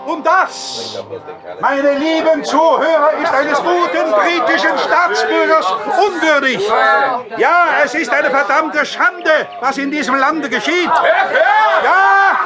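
A man's voice: very high-pitched, takes it slowly, over loud music. A man playing an indignant, patriotic street orator in a German audio drama, declaiming emphatically. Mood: fervent, indignant. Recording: busy crowd behind the voice.

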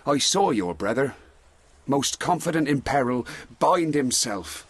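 Steady sea captain, husky voice. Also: male voice, mid-range voice, a little slow. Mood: earnest, reassuring. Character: respectful, steady sea captain who survived a shipwreck. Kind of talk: Shakespearean audio drama.

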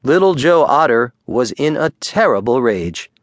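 A man narrating a children's bedtime story audiobook with expressive, deliberate storytelling. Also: medium pitch, no echo, clean studio sound.

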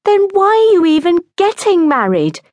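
A woman narrator plays an exasperated younger sister in a comic audiobook memoir. The voice is pitched high and plaintive, wailing and whining at the bride with open frustration. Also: sing-song, moderate pace, a little mellow, dry recording.

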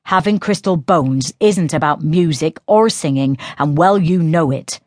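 A woman reading the lines of an earnest young brother, speaking quietly in her own low voice. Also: unhurried, no room sound, clean studio sound.

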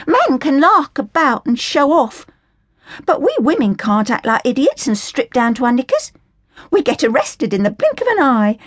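Woman putting on a loud high girl, young orphan, scornful and mouthy, voice leaps around. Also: very animated, moderate pace, no room sound, clean studio sound. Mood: mocking. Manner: scornful and outspoken. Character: brash indignant young East End orphan girl.

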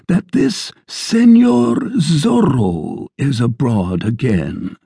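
A fat, nervous innkeeper, as a male reader puts him on, raising his voice to placate. Husky and gravelly in a dry, close sound. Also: mid-range voice, lively intonation, drawn-out words, dark tone, dry recording, voice pushed up, exaggerated voice. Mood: placating.

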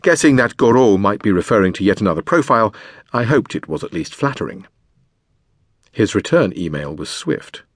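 Guy reading an audiobook, lowish slightly husky voice, measured pacing, really dry close sound. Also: very animated, dry recording. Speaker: audiobook narrator. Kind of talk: scripted memoir narration.

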